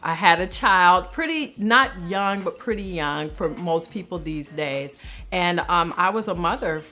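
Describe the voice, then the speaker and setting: A woman's voice: lowish voice, expressive, unhurried, pushed and bright, faint music behind. A woman singer talking casually in an interview, telling personal stories in everyday words. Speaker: singer in a family group. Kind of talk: casual reality-show interview.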